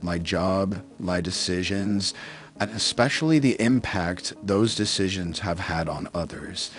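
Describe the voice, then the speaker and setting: A man's voice: low-pitched, measured pace. A man playing a solemn, secretive wealthy father in an amateur roleplay drama, earnest and grave. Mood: solemn, reflective.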